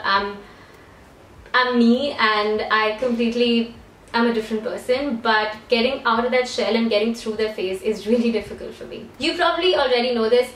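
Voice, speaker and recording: A woman's voice: a little high, fairly bright tone, far from the mic, a little hiss or hum. A young woman from India chatting casually to camera in a room at home, rambling and self-deprecating. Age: young adult. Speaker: beauty YouTuber. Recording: roomy echo, voice a bit off-mic.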